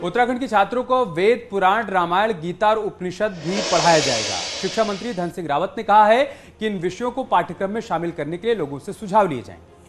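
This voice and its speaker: A man's voice: high-pitched, expressive, brisk, music in the background. A man presenting television news as an anchor.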